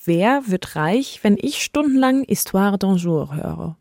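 A woman's voice: medium pitch, animated, slow pace, gravelly, a little mellow, no echo, studio quality. A woman hosting a podcast, telling a scripted story in a conversational narrating style. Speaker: podcast host. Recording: music bed under voice. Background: background music.

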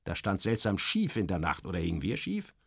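Male narrator for satirical fiction: lowish voice, wry, expressive, clipped phrasing, very dry studio sound. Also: brisk, creaky, husky, dry recording. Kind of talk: first-person literary narration, satirical.

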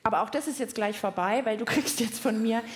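A woman's voice: fairly high pitch, a little fast. A woman co-hosting a children's science podcast live on stage, with playful, teasing banter and a giggly manner.

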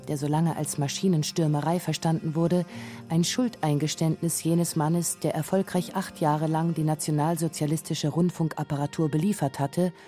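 A woman's voice: low voice, dark tone. A woman reading scripted narration for a documentary.

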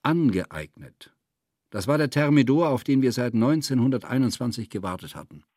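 Terse Soviet insider, lively intonation. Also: male voice, medium pitch, moderate pace, dry recording, studio quality. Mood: terse, assured. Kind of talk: radio play interview dialogue.

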